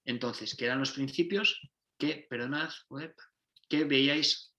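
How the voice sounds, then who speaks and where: A man presents his club's training method. He explains point by point and keeps restarting and fixing his wording. His voice is bright and forward, and it rings with the echo of a roomy space. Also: medium pitch, slow pace, muffled recording. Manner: explanatory, restarts and self-corrections. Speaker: football coach and consultant.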